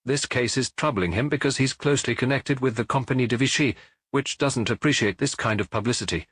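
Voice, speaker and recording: A man reading the part of a busy, businesslike provincial police officer in an audiobook, brisk and matter-of-fact. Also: medium pitch, fairly bright tone, husky, no echo, studio quality, pitched above the performer's own voice.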